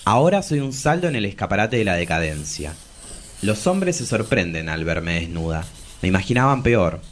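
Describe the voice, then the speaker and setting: An actor playing a bawdy, melancholic travesti poet in their forties in a theatrical, confessional radio drama. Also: male voice, lowish voice. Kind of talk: radio drama dialogue and monologue.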